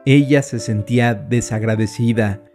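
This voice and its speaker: A man's voice: medium pitch, fairly even intonation, moderate pace, a little mellow. Man narrating horror explainer, music behind. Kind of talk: scripted storytelling narration.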